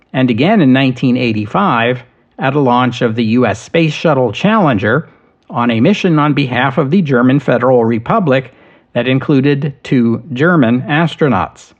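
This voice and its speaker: A man narrating history as a podcast host, in a measured, steady storytelling delivery. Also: medium pitch, dull audio.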